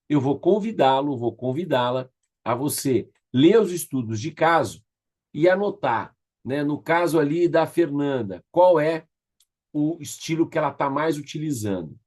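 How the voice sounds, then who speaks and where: A Brazilian man with a slightly high voice leads an online class. He gives exercise directions in an informal, conversational way. He often checks that participants are following along. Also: a little mellow. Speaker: facilitator of an online leadership class. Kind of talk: informal instructional talk giving exercise directions.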